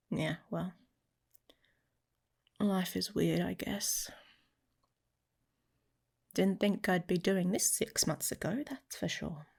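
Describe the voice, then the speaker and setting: A woman voicing a protective, teasing werewolf mate in an intimate ASMR romance roleplay, speaking softly and casually, often slipping into a whisper. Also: fairly low pitch, vocal fry, exaggerated voice. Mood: affectionate, wry. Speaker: audio roleplay performer.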